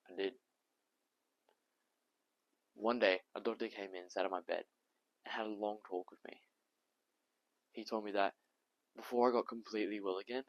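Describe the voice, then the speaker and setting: A man playing a bitter, frank, sick young boy in an emphatic, halting stage monologue. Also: lowish voice, natural voice. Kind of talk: dramatic stage audition monologue. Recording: dull, roomy echoing sound.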